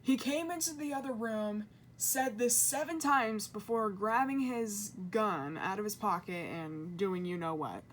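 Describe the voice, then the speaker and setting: A woman's voice: medium pitch, a little slow, hardly any pauses, slight background noise. A woman giving informal explainer commentary in an earnest, conversational way, often correcting herself. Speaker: video creator and commentator.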